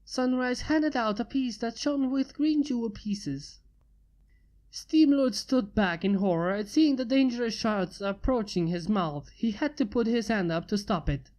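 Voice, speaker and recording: A woman narrating fanfiction in a plain, steady amateur reading. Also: fairly high pitch, unhurried. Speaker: fanfiction reader.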